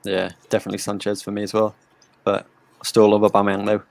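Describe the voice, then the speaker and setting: A man hosting a live watch-along, chatting casually, reading out viewer comments and giving shout-outs. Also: on the low side, completely flat delivery, quick pace, gravelly. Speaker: host. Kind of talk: casual chat.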